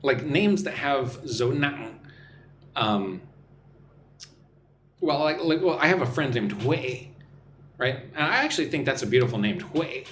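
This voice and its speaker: A man's voice: medium pitch, lively intonation, unhurried, pauses often, a bit bright, a little hiss or hum. Chatty young American man teaching live. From Texas. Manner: chatty, teasing, answers viewers' comments. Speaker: English teacher and livestream host. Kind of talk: live-stream English lesson, reading chat. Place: home room in Vietnam.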